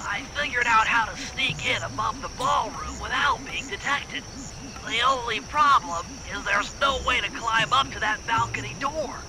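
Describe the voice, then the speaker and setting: Brainy young game turtle, extremely high voice, earnest and precise explainer, music underneath. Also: male voice, normal speed, poor audio. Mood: earnest, instructive. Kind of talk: video game mission briefing.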